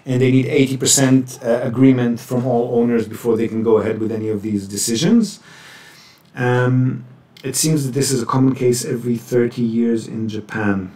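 A man with a mid-pitched voice fields questions as a webinar presenter. He explains things in a measured way, pausing as he goes. The sound is echoey and slightly distant from the microphone. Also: steady tone, warm tone. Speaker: webinar presenter, property buyer's agent. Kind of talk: answering audience questions in a webinar. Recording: roomy echo, distant microphone.

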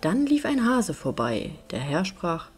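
A woman narrating a fairy tale as an audiobook reader, in an expressive storytelling style. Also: lowish voice, measured pace, breathy edge, soft background music.

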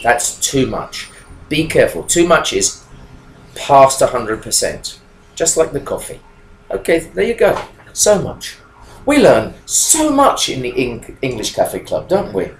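Animated man teaching English, echoey room. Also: mid-range voice, unhurried. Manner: animated, emphatic, gestures while talking. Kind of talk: explaining grammar to learners. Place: small room indoors with whiteboard. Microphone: webcam at desk. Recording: echoey room, faint background noise.

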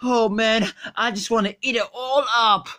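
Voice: mid-range voice, steady tone, moderate pace, pushed and bright, lightly acted. A performer voicing a hot-tempered, whiny, foul-mouthed puppet in a comic skit, repeating exclamations indignantly. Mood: greedy, gloating.

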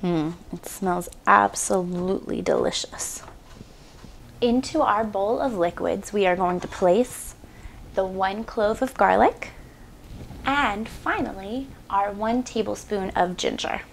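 A woman's voice: mid-range voice, slow, gravelly, slight background noise. A woman in her twenties hosting a home cooking demonstration in a small kitchen, chatty and upbeat with playful asides, on a lapel mic. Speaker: cooking show host.

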